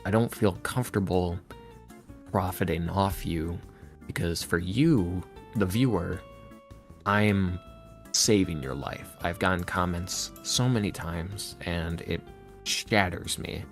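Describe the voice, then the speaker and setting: A man being interviewed on a casual podcast as a guest, speaking in a halting, reflective, self-deprecating way. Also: sits low, soft background music. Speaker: interview guest, ASMR roleplay creator.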